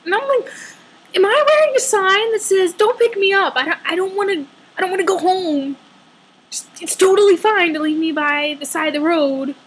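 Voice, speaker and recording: A woman's voice: very high voice, normal speed, low-quality recording. A woman telling a personal story casually, dramatically acting out dialogue with a sarcastic edge.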